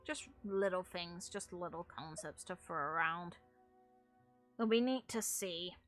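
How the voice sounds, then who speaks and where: Female gaming host, bright forward voice, casual and chatty, music underneath. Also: on the low side, a little slow. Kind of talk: casual gameplay commentary. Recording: music playing behind the voice. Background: background music.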